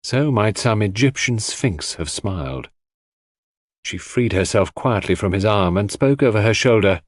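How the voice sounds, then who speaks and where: A man's voice: on the low side, expressive, measured pace, dry recording, studio quality. A man reading a first-person story as an audiobook narrator, in a scripted literary style.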